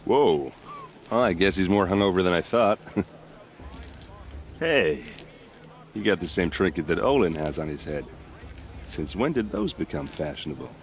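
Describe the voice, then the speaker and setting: An actor playing a cocky, flirtatious elite soldier in a video game, easygoing and teasing in manner. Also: male voice, sits low, animated, moderate pace, long pauses, a little hiss or hum. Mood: amused, teasing. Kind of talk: video game cutscene acting.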